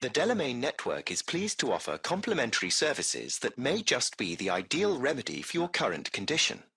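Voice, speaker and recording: A man voicing a servile, impeccably polite artificial intelligence taxi driver in a video game, with formal, ornate, measured diction. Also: medium pitch, wildly swooping pitch, creaky, pushed and bright, husky, full character voice. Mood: politely solicitous. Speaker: AI driver and concierge.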